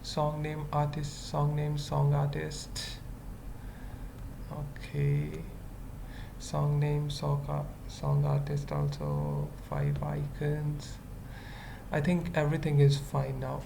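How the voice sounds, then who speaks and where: Slow, creaky-voiced coding tutorial presenter. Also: mid-range voice, background noise. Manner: halting, murmured fragments while working. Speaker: web development tutorial presenter. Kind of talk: informal screencast coding tutorial, thinking aloud.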